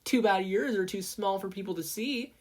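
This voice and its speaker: Narrator doing a high, brash teenage girl inventor. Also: normal speed, a little mellow, lightly acted. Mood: boastful. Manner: salesy, showy, competitive. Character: brash teenage girl inventor pitching her gadgets, boastful and combative. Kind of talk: podfic character voice.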